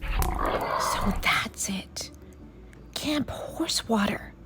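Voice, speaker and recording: A woman voicing an excitable, suspicious young boy camper in a children's story, with an emphatic childlike manner. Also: medium pitch, very slow, whispered. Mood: whispered suspicion.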